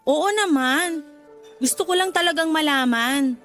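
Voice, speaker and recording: A high-voiced young daughter in a radio love drama. She talks in an emotional, conversational way, remorseful yet open to teasing, over soft background music. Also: a little slow. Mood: pleading, concerned. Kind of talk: Tagalog radio drama acting. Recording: music behind the voice.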